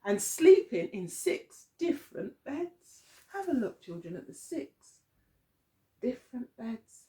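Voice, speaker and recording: Woman, early years teacher, children's storytelling, high voice, very unhurried pace, expressive, questioning. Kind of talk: storybook reading for young children.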